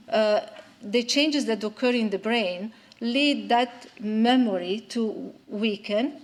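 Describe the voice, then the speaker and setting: Woman lecturer, mid pitch, explains research step by step. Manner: explanatory, with frequent fillers and restarts. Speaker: conference speaker. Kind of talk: scientific lecture and Q&A answers.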